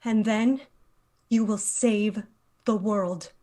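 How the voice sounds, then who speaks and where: A woman playing a stern, commanding leader of a mystic order in a dramatic screenplay table read, authoritative and measured. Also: mid-range voice, steady tone, mellow, natural voice. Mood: coolly commanding.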